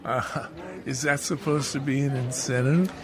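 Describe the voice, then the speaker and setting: A man's voice: medium pitch, steady tone, unhurried, close to an everyday voice. A man playing a boozy, verbose, philosophizing drunken sidekick in an old-time radio detective drama, wry and theatrical. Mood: grudging, wry.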